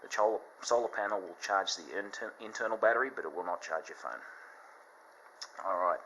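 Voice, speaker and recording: A man with a very deep but bright, forward voice talks through a product demonstration. His delivery is casual and unscripted, explaining in a halting manner as he goes. There is faint noise behind him. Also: rough recording. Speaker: product reviewer.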